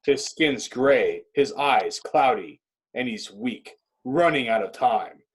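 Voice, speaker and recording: A man with a husky voice reading stage directions as dramatic scripted narration. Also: mid-range voice, animated, slow pace, low-quality recording.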